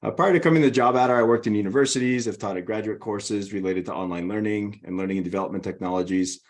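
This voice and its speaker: A man's voice: lowish voice, fast talker. Presenter, male, middle-aged, Hawaiian accent; friendly and organized, walks through slides. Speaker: webinar presenter. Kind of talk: presentation webinar.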